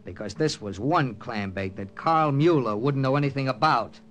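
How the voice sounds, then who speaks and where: A man's voice: mid-range voice, normal speed, a bit bright, lightly acted. A man acting a tough, grim American intelligence captain who narrates an old radio drama tersely. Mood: urgent. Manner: terse, hard-boiled. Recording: old radio recording with music behind.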